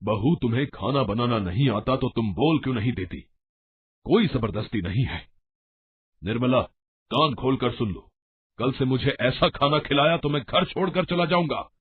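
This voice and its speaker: A man voicing an older, blustering but fair father-in-law in a cartoon, emphatic and husky. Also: medium pitch, normal speed, close to an everyday voice. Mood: threatening. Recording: dull lo-fi audio.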